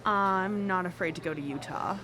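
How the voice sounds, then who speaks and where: A woman's voice: lowish voice, normal speed, sharp tone, low-quality recording. An actress playing a desperate woman facing banishment in a live radio drama. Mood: defiant. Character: defiant, then desperate female victim of banishment.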